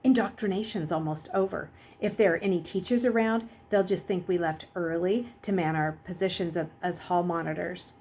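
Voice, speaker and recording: A woman's voice: lowish voice, moderate pace, few pauses, darkish tone, husky. A woman voicing a cocky, mocking teenage boy who leads his gang, brusque and offhand, in an audiobook. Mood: brash, dismissive. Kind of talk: audiobook character voice.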